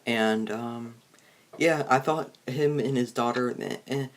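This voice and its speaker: A man hosting a movie review in casual chat, halting and often restarting his sentences. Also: medium pitch. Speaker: host of a movie review show.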